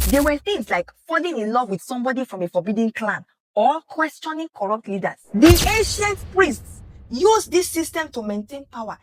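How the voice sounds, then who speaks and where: A woman narrates a story in a fairly high voice. She is emphatic and dramatic, addressing the listener directly as a storyteller would. The recording has clear background noise and some clicks.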